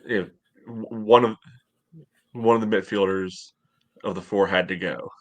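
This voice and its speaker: A man's voice: low voice, fairly even intonation, moderate pace, no echo, dull audio. A man in his thirties co-hosting a casual sports analysis from home on a video call. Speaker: podcast co-host.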